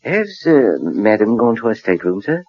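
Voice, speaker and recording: An actor playing a polite, discreet ship's steward with a deferential, hesitant manner in a 1940s radio drama. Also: male voice, lowish voice, sing-song, normal speed, slightly rough. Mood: polite, meek. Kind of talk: 1940s British radio acting. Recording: dull old 1940s radio recording.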